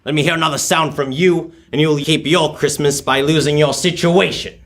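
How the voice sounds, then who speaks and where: A man's voice: fairly high pitch, fairly even intonation, normal speed, fairly bright tone. A young man playing a bitter, miserly old businessman in a live radio drama, speaking in a curt, scornful, emphatic way. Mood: angry. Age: teenager or young adult.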